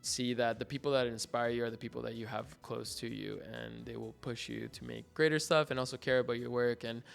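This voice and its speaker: Chill mexican-accented guy, gravelly smooth voice, rambles a lot, soft music behind. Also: mid-range voice, brisk. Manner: relaxed, rambling, many likes and restarts. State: hungover. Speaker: graduating art student guest. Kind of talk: casual interview chat.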